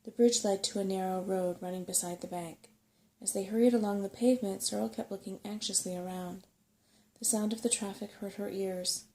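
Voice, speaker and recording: A soft-spoken woman narrating a bedtime story in an ASMR style. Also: mid-range voice, steady tone, a little fast, a little hiss or hum, poor audio.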